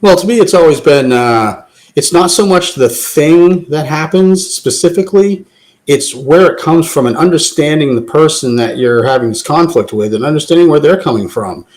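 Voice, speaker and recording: A man taking part in a live audio talk show, speaking in a measured, reflective way as he explains his view. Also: a little high, expressive. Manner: measured, thoughtful, gently explaining.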